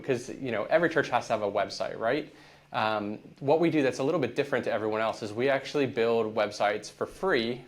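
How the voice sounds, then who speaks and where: An American man speaking as a guest on an interview podcast. His talk is easygoing and conversational, with his thoughts strung together by "like" and "um". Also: mid-range voice. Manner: relaxed, conversational, frequent fillers. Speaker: guest, company founder.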